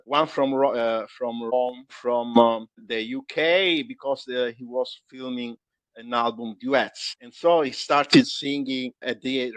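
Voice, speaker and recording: A man from Calabria speaks English with an Italian accent, his native language being Italian. His voice sits at an average pitch in a dry, close sound. He recounts anecdotes conversationally, restarting sentences and hunting for the next word. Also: fairly bright tone, dry recording, rough recording. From Calabria, Italy. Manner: halting, searching for words, restarting sentences. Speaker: tour guide being interviewed.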